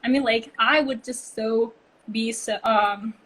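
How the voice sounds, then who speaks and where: A woman's voice: fairly high pitch, fairly even intonation, measured pace, a bit bright, rough recording. A woman, chatting as an interview guest and telling stories with many "like" and "um" fillers over a video call. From Bali, Indonesia. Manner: chatty storytelling, frequent "like" and "um". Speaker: guest, brand consultancy co-founder. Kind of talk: casual interview chat.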